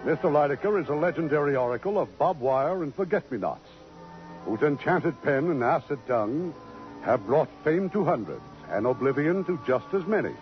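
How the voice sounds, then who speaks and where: A man's voice: on the high side, expressive, moderate pace, soft background music. A man hosting and narrating a scripted drama program in 1950s radio style. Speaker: radio show host and narrator. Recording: muffled 1950s radio broadcast.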